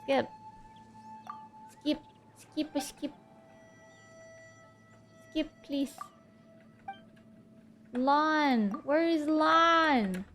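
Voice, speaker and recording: A woman's voice: high-pitched, extremely slow, long pauses, a bit bright, faint music behind. A woman streaming a video game, chatting casually, exclaiming and laughing often as she plays. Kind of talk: casual gameplay commentary.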